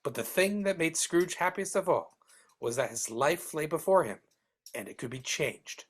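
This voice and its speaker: A woman playing the storyteller of a Christmas tale in a live dramatic reading, with a husky voice. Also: low voice, animated, normal speed. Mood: storytelling. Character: storyteller narrator of a Christmas tale, wry and earnest.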